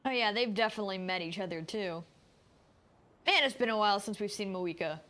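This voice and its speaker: A woman's voice: medium pitch, brisk, a bit bright. A woman game streamer giving casual live commentary and reading along with dialogue. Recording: game music behind the voice.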